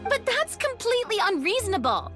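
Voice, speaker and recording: A woman's voice: extremely high pitch, very animated, fairly quick, over loud music. A woman playing an astute, observant young female adventurer in a video game, articulate and earnest. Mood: startled protest. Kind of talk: English video game voice acting.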